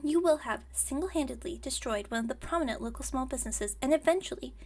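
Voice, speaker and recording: Woman as scatterbrained young witch running a shop: high voice, theatrical salesmanship, chatty rambling, ASMR roleplay. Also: lively intonation, fast talker, darkish tone. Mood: flustered, dramatic. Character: flustered, dramatic, scatterbrained young female witch shopkeeper.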